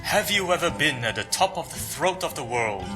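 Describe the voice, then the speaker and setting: A man's voice: on the high side, expressive, moderate pace, natural voice. A man playing an ordinary, talkative townsman in video game dialogue. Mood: casual. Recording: music behind the voice.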